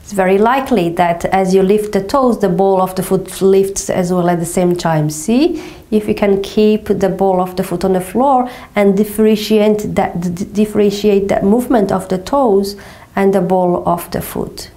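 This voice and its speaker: A middle-aged Portuguese woman with a Portuguese accent, gently guiding a floor movement exercise with slow, calming cues and frequent check-ins. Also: mid-range voice. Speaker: movement teacher. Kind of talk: guided somatic exercise instruction. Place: small carpeted room indoors.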